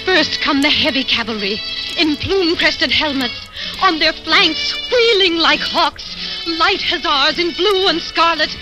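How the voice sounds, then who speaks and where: Tender, solemn phantom sweetheart, high and very unhurried, earnest 1940s radio drama style over music. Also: female voice, expressive, poor audio. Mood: rapt, visionary. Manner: measured, earnest, dreamlike delivery. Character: tender, solemn imagined voice of a captive's beloved. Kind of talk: 1940s radio horror acting. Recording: vintage radio recording with background music.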